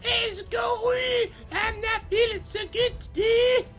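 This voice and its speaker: Man doing a quavering, shrill fake-granny wolf. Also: fairly even intonation, takes it slowly, fairly bright tone, raised voice, faint music behind, exaggerated voice. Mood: feeble. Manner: quavering, hesitant, wheedling. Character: sly male wolf posing as sickly old grandmother.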